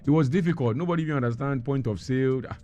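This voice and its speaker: A man's voice: mid-range voice, rapid-fire, dark tone, highs cut off. A middle-aged man who grew up in Nigeria, a guest in a radio interview, telling his story earnestly with many ums and restarts. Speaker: guest entrepreneur.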